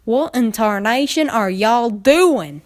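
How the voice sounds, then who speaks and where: A woman's voice: on the high side, lively intonation, slow. A woman voicing a stern, no-nonsense country mare, an older sister with a firm, folksy drawl. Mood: confused.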